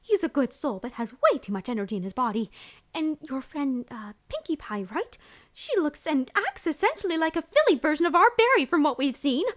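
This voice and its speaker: A woman's voice: high-pitched, sing-song, fairly quick. A woman voicing an elderly, motherly mare who runs a bakery, fussing over customers and calling them dear. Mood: worried. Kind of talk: amateur audio drama acting.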